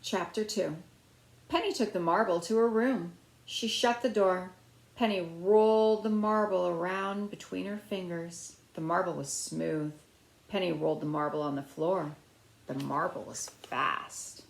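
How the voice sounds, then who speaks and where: A middle-aged woman reading a children's picture book aloud with deliberate phrasing. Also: on the low side, creaky. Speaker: storybook reader. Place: small room at home by a window, indoors. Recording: roomy, echoey indoor sound.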